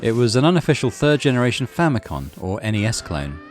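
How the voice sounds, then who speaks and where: A man's voice: medium pitch, very animated, fast talker. A man narrating a visual novel aloud as a gaming channel host, in a dramatic storytelling style. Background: music.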